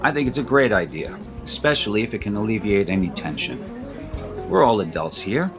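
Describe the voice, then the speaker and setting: Low-voiced, patronizing older father. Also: sing-song, a little fast, music in the background. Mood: placating. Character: composed, authoritative father soothing his son. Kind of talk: audio soap opera acting.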